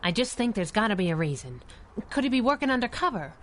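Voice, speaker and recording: An actress playing a spunky, loyal young sidekick with a sassy, slangy, streetwise manner in a 1930s radio adventure style. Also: female voice, mid-range voice, big pitch swings, fast talker, a bit bright, a little hiss or hum. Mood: troubled, pleading.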